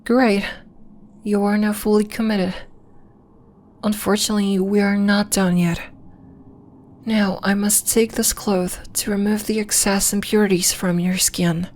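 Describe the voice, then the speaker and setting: Woman playing a slow-spoken gentle moon healer. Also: medium pitch, little pitch movement, slight background noise, natural voice. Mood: calm, solemn. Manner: measured, formal, reassuring. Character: gentle, earnest female alien moon-temple healer. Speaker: ASMR roleplay voice actor. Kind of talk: slow, soft ASMR roleplay acting.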